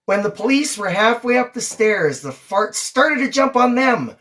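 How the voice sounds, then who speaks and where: A woman's voice: a little high, big pitch swings, normal speed, fairly bright tone. A woman reading a storybook aloud to a child, acting out the lines expressively. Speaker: mother reading to her daughter on a livestream.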